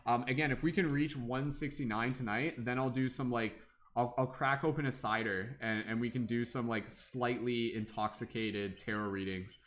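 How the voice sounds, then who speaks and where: A man's voice: mid-range voice, fairly even intonation, moderate pace, a bit bright. A young man hosting a casual tarot livestream, rambling with many fillers and humming to himself between comments.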